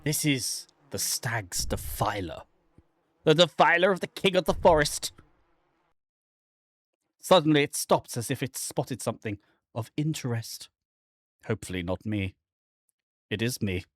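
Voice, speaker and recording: A man voicing a haunted, guilt-ridden man lost in dark woods, reading horror game narration in a theatrical, halting way. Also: mid-range voice, animated, no room sound, studio quality. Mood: uneasy, fearful. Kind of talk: dramatic first-person reading of horror visual novel text.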